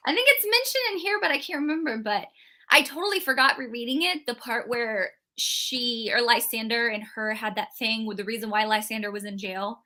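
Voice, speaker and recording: Woman, fairly high bright voice, casual rambling book chat, live stream co-host. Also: brisk. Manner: chatty, rambling, many fillers and restarts.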